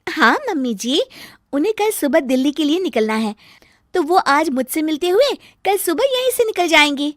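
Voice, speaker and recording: A woman voicing a sweet, polite young daughter-in-law in an animated story. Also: moderate pace, no echo, clean studio sound. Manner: high, eager, polite.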